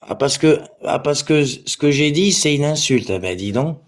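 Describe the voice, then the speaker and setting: A man's voice: on the high side, animated, normal speed. Crude, confrontational man on a livestream. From Portugal. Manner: crude, abusive, confrontational, swearing. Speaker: live stream participant. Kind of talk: livestream chat replying to commenters.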